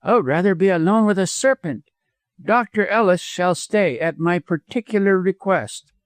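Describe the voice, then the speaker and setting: Man voicing a high-pitched, scornful widow. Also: a little slow, smooth, no echo. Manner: steady, scornful. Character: defiant, disdainful widow, a woman standing firm.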